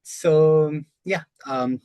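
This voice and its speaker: Young man, measured explaining, dry close sound, video call guest. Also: on the high side, darkish tone, smooth, no echo. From Nepal. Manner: measured, explanatory, many "like" fillers. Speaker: guest, software engineer. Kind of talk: casual interview answers.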